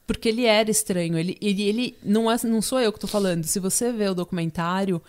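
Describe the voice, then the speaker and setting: A woman's voice: medium pitch, fast talker, darkish tone. A young woman with a Brazilian accent, hosting a podcast and telling a true crime story in a casual, chatty way with frequent fillers. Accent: Brazilian Portuguese. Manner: chatty storyteller, laughs often, many fillers. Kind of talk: casual chat.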